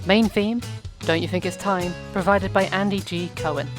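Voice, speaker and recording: A woman's voice: medium pitch, steady tone, moderate pace, music in the background. A woman giving a scripted announcement of audio drama credits. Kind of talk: scripted narration.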